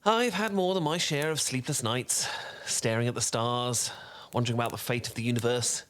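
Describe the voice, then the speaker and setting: A man playing a charming, learned wizard who tells a bedtime story in a soft, velvety, theatrical and slightly pompous voice, in a soothing fan roleplay. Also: mid-range voice, close to an everyday voice. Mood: gentle, playful. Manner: theatrical, eloquent, unhurried, gently pompous.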